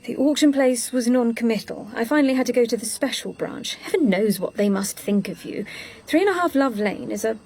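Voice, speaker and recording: A capable secretary to a spy in a radio drama, with a fairly high voice. She is brisk and matter-of-fact, efficient and a touch prim. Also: expressive, a little mellow. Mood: reluctant, prim. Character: brisk, efficient, slightly prim female secretary-assistant agent.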